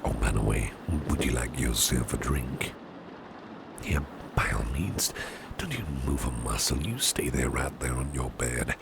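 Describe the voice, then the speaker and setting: A man playing a doting, protective businessman boss with a sly edge in an ASMR roleplay, gravelly and breathy at times, with genteel, old-fashioned phrasing. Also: rumbling low, animated, measured pace, heavy background noise, full character voice. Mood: courteous, attentive. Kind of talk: ASMR roleplay, soft personal attention.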